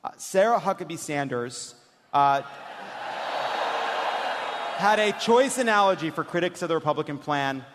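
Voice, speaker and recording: A man's voice: fairly high pitch, expressive, normal speed, pauses often, heavy background noise. A man hosting a live comedic political panel, joking as he explains things. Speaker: podcast host. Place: large hall on stage. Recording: echoey hall, live stage.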